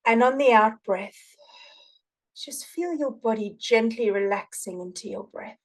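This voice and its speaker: A woman guest, an energy healer, talking in a gushing, repetitive way on a video call and then softly guiding a meditation. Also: a little high, unhurried, poor audio. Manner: effusive, repetitive, soft-spoken when guiding. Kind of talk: casual chat then guided meditation.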